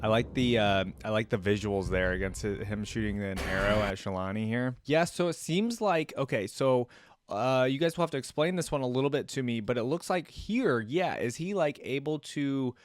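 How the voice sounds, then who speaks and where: A man's voice: medium pitch, lively intonation, normal speed. A man hosting a reaction video with casual, off-the-cuff commentary, often tentative as he thinks aloud.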